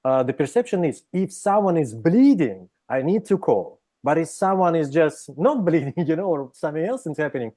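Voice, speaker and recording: A man from Russia with a Russian accent, speaking as a psychologist guest in an interview, explaining with many pauses, restarts and "right?" checks. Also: on the high side, big pitch swings, dark tone. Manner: hesitant, frequent "right?" tags and restarts. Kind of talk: interview conversation.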